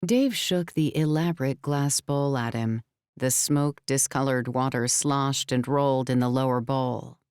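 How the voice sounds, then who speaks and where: A woman's voice: a bass voice, fairly even intonation, measured pace, a little mellow, clean tone, no echo, studio quality. A woman narrating scripted fiction as a storyteller in a full-cast audiobook. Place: dry recording studio.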